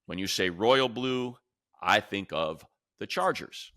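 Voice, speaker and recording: A deep-voiced American man gives opinionated sports commentary. His voice is bright and forceful, recorded dry and close. He talks casually but emphatically, hammering a point by repeating it. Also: expressive, slow, no echo. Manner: emphatic, repeats phrases for effect. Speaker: sports podcast co-host and commentator.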